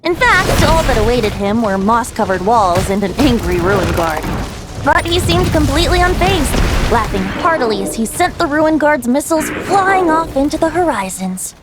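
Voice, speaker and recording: A woman's voice: sits high, sing-song, normal speed, over loud music. A woman voicing a youthful, breezy male bard in a video game, with a lilting, theatrical storyteller's manner. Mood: storytelling. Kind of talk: video game character dialogue.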